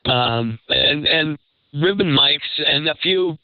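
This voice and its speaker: A man giving casual advice as a panelist, speaking in a measured, considered way. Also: expressive, smooth, no echo. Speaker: panelist, microphone expert. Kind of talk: casual advisory talk. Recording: dull low-fidelity radio-like sound.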